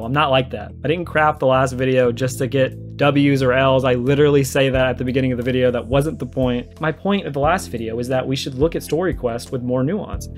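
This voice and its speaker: A man giving conversational response commentary, arguing emphatically and repeating his points for stress. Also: medium pitch. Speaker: video creator and host. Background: music with plucked guitar.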